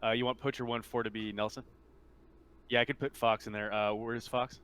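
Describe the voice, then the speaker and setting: A man's voice: medium pitch, level tone, fairly quick, fairly bright tone, clear, resonant tone. A man acting as game master, explaining things casually and a bit hesitantly during a tabletop game.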